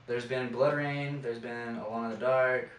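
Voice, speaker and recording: A man's voice: mid-range voice, little pitch movement, moderate pace. A young man in casual podcast chat, giving blunt opinions as a co-host. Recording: echoey room, distant mic, faint noise.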